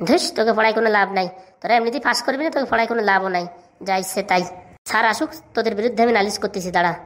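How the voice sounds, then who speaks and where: A woman's voice: medium pitch, rapid-fire, natural voice. A woman voicing a cheeky, bossy, boastful village schoolboy in a comic cartoon, rattling on self-assuredly. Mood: irritated, scolding.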